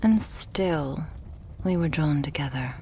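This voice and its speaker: ASMR roleplay casting: woman as devoted, stubborn vampire girlfriend, lowish soft breathy voice, unhurried intimate delivery, lightly teasing, creaky edges. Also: a little hiss or hum. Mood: loving, hopeful. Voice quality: soft, breathy, often whispered. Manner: slow, tender, coaxing, lightly teasing. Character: loving, doting, stubborn female vampire girlfriend.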